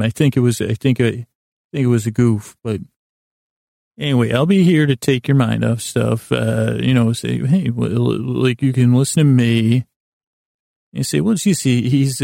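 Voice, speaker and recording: Male sleep-podcast host, mellow and very creaky, stammering through lighthearted, self-deprecating tangents. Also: medium pitch, no echo, clean studio sound. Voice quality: gravelly, creaky. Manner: halting, meandering, self-interrupting, stammering delivery. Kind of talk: rambling bedtime sleep podcast monologue.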